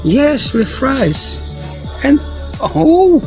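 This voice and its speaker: A man's voice: very high voice, sing-song, very slow. A man, filming and co-hosting a sailing vlog in playful, laughing casual chat. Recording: loud music over the voice.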